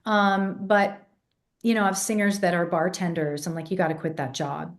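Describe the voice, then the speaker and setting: A woman's voice: on the low side, moderate pace. A woman raised in England, a vocal coach telling stories in a casual interview, sounding congested. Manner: animated storyteller, demonstrates vocal sounds. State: congested, voice less clear than usual.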